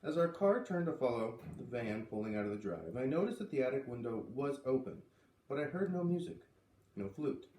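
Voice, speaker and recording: A man's voice: medium pitch, expressive, fairly quick, mellow, far from the mic. A man reading a children's storybook aloud expressively, acting out the dialogue lightly. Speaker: reader of a children's book read-aloud series. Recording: roomy, voice away from the microphone.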